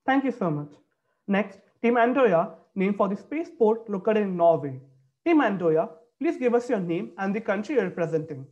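A man's voice: high-pitched, expressive, normal speed, a little mellow, lo-fi sound. A young man moderating a debate on a video call, reading formal announcements from a script. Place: indoor room, video call.

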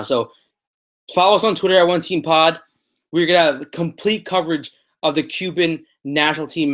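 A man's voice: a little high, fairly bright tone. A young man hosting a soccer podcast, talking unscripted to a webcam in a room at home and repeating phrases for emphasis. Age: young adult. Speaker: sports podcast host. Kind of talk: unscripted soccer commentary to camera. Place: small room at home, indoors. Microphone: webcam or laptop at arm's length.

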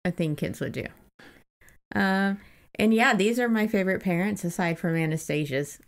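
A woman's voice: medium pitch, lively intonation, moderate pace. A woman hosting a podcast, chatting casually about a book in an informal, joking way. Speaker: podcast host. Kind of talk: casual book discussion chat.

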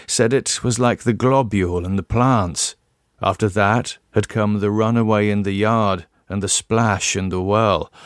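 Low-pitched man narrating horror, dry recording. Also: dry recording. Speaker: audiobook narrator. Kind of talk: scripted narration of a horror tale.

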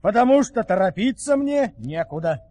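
Casting: easygoing storybook wonder-worker, high voice, unhurried deliberate delivery, long reverb. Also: male voice, very animated, dark tone. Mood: cheerful, unhurried. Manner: measured, deliberate. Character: easygoing, unhurried fairy-tale helper with marvelous skills. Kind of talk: theatrical audio-play acting.

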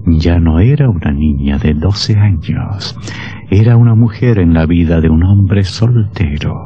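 A man narrating an old radio drama in a dramatic, measured scripted style, on a dull old recording. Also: low-pitched, breathy edge.

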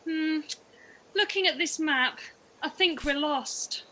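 A woman's voice: high-pitched, slow, pushed and bright, slight background noise, full character voice, poor audio. A woman playing a timid young girl in a radio pantomime. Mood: uneasy.

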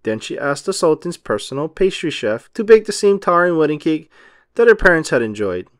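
Animated, high-voiced man reading a storybook. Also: fairly quick, dry recording, studio quality. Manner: animated, emphatic storytelling for young children. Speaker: children's book read-aloud narrator. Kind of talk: expressive scripted storybook narration.

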